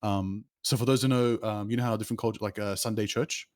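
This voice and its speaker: Animated young man, dry close sound. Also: fairly low pitch, fast, no room sound. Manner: animated, laughs often, says bro a lot. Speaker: streamer guest. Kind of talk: casual chat.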